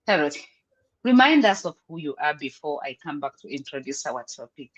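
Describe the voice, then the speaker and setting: A woman's voice: low-pitched, sing-song, a little fast, poor audio. A woman from Uganda with a Ugandan accent hosting a live online panel over a video call, upbeat, laughing often and switching between English and Luganda. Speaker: host.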